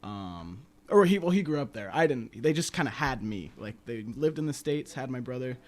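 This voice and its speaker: This is a young man from California who plays guitar and sings in a band. He answers questions in a rambling, hesitant way and fills the pauses with ums. He is a guest at a community radio studio. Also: medium pitch, expressive, darkish tone. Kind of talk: casual interview chat.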